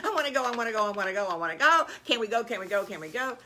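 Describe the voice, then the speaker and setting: A woman voicing excited, impatient little piglet boys in a children's story, eagerly repeating words. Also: extremely high pitch, moderate pace, background noise, voice pushed up. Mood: excited begging.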